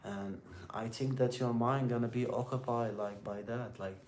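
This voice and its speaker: Gravelly middle-aged Algerian man, rambling. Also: medium pitch, fairly even intonation, a little mellow. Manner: rambling, repetitive, halting second-language English. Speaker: livestream host. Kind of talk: casual motivational chat with live viewers. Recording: roomy echo with faint background noise.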